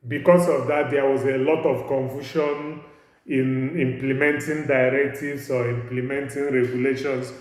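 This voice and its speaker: A man with a Nigerian English accent giving a university law lecture, halting and repeating words as he explains. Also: mid-range voice, darkish tone. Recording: very echoey room.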